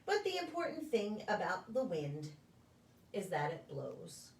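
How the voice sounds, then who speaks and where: A woman reading a children's picture book aloud in a deliberate, sing-song storytelling way for preschoolers. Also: mid-range voice, huge pitch swings, a little mellow. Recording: echoey room, distant microphone.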